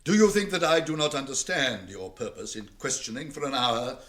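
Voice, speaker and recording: An actor playing a wise, stern old wizard in British fantasy radio drama, with measured, weighty, archaic speech. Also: male voice, mid-range voice, a bit bright, close to an everyday voice. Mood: stern, rebuking.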